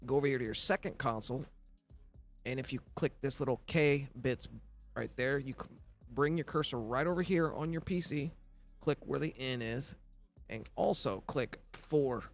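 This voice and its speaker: A man with a scratchy, slightly worn voice talks through a video game tutorial step by step. His pitch sits in an ordinary middle range, and faint music plays underneath. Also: normal speed. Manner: instructional, walks through steps plainly. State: scratchy voice from recovering illness. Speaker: gaming tutorial narrator.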